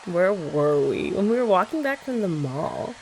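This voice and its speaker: Playful woman with a gravelly, lively voice. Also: fairly low pitch, moderate pace, a little hiss or hum, low-quality recording. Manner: playful, teasing, chatty with frequent laughs. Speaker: ASMR roleplay creator as girlfriend. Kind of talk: ASMR girlfriend roleplay chat.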